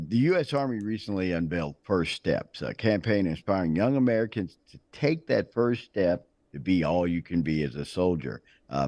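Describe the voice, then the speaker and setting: A man hosting a morning TV news show, speaking in a measured way with frequent fillers. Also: sits low, darkish tone, no room sound. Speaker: TV news host. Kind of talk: morning TV interview. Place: TV studio.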